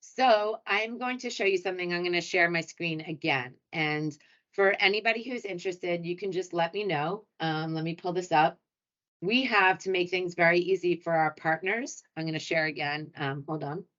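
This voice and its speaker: A woman's voice: lowish voice, normal speed, fairly bright tone. A woman from New York City with a New York accent, presenting a hotel webinar in a chatty, upbeat, self-deprecating way. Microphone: computer mic on a video call. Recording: muffled, lo-fi video call audio.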